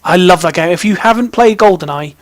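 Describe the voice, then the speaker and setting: Young man, English accent, high voice, dry sound, casual vlog storytelling, rambling, playful. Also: brisk, no room sound. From British, raised in army family in Germany, Hong Kong and England. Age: young adult. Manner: rambling, self-interrupting, playful. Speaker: vlog host. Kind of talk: casual chatty personal storytelling to camera.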